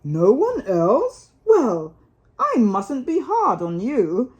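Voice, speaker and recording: Woman reading as a chummy gentleman water rat, unhurried. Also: a little high, huge pitch swings, lo-fi sound, full character voice. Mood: patient, grumbling. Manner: chatty, assured, old-fashioned chummy. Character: worldly, good-natured male water rat, gentlemanly riverbank animal.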